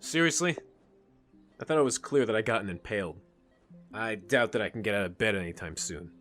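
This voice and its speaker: Casting: male actor as sullen injured teen lad, lowish voice, sarcastic and stubborn, audio drama with faint music behind. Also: animated, fairly quick, a bit bright. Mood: incredulous. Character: bitter, sarcastic injured teenage boy, stubborn.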